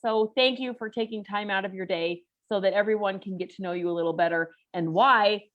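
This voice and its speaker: A woman's voice: medium pitch, fairly quick, highs cut off. Upbeat, teasing woman host. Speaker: podcast host. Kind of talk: interview hosting.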